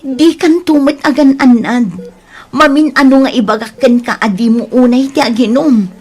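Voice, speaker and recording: A woman's voice: high voice, normal speed, darkish tone, slightly rough. A woman playing a worried, scolding but practical wife in an Ilocano radio drama, with an exasperated, admonishing manner. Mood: upset, scolding.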